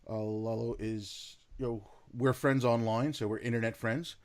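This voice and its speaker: A man's voice: mid-range voice, fairly even intonation, normal speed, a little mellow. A man hosting a podcast interview, speaking in a friendly, rambling way with lots of "like" and "you know". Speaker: podcast host. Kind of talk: casual interview.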